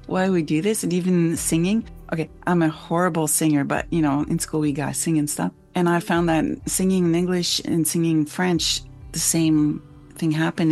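A woman's voice: lowish voice, a little mellow. A woman who grew up in Switzerland and lives in Canada, hosting a thoughtful, casual conversation.

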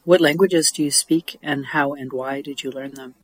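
A woman's voice: low voice, fairly even intonation, normal speed, slightly rough. A woman asking questions as the interviewer.

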